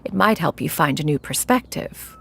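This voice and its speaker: An actress playing a haughty, ancient immortal in a video game, who speaks in formal, archaic, imperious diction. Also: female voice, deep voice, lively intonation, moderate pace, creaky, very noisy. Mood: composed, stately. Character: haughty ancient crane immortal posing as an elegant woman. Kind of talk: scripted video game voice acting.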